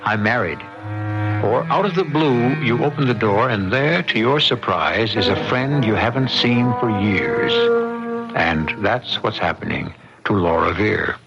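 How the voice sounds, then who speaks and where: A man introducing a radio mystery play as host, in measured, deliberate scripted narration. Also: fairly low pitch, animated, vocal fry. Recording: dull lo-fi radio recording. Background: music.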